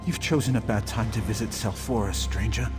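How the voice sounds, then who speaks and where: A man's voice: fairly low pitch, fairly even intonation, brisk, lightly acted. An actor playing a grim, fearful male village survivor with a grave, dramatic delivery in a fantasy video game. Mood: grim, despairing. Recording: long reverb, music behind the voice.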